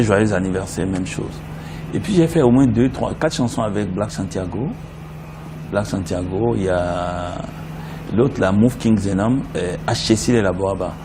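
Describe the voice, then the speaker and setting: Lively man with a low voice. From Benin. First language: Yoruba. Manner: animated storyteller, breaks into song. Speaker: interview guest, gynecologist. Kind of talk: casual interview chat. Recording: noisy background.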